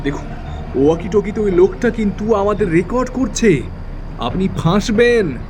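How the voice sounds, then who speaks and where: A man's voice: sits high, animated, moderate pace, noisy background. A man playing an alarmed young character in an audio thriller, urgent and pleading. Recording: music behind the voice.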